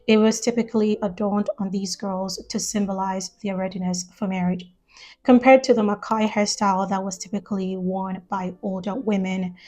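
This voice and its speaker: A woman from Ghana talks through her topic in a measured, explanatory way, as in a video essay. Her voice sits at an ordinary middle pitch, and soft music plays faintly beneath her. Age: adult, lived years in the US.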